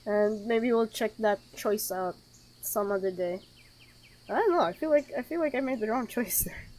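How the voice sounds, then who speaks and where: A woman's voice: fairly high pitch, moderate pace, darkish tone, slight background noise. A woman streaming a horror game, reading aloud and reacting nervously, often repeating herself.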